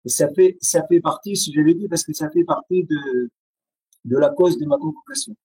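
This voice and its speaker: Man, Syrian accent, Arabic native speaker, hesitant, restarts often, dark husky voice, video call. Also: a little high, no room sound, rough recording. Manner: halting, with frequent pauses and restarts. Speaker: interview guest. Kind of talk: interview over a video call.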